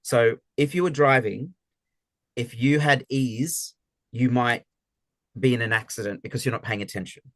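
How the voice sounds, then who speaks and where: A man facilitates an interactive online session, encouraging and affirming, restarting words as he goes. His voice sits in a middle pitch. The sound is dry and close. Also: moderate pace, a bit bright, no room sound. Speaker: workshop facilitator.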